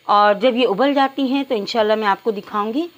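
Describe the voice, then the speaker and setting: A woman presents a home recipe in a fairly high voice. She talks straight to her viewers and takes them through the cooking one step at a time. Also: brisk. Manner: step-by-step, addressing viewers directly. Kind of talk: instructional cooking narration.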